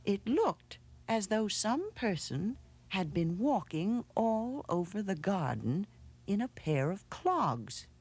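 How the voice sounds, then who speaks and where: A woman narrating a children's storybook aloud in a measured, dramatic way, with hushed pauses. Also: mid-range voice, sing-song, breathy edge. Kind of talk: expressive storybook read-aloud.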